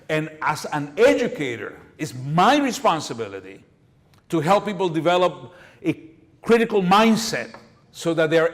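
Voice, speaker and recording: An older man lecturing at a public seminar, speaking emphatically and repeating words to drive points home. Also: on the high side. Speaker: guest speaker, educator. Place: lecture hall, at a podium. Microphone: podium microphone.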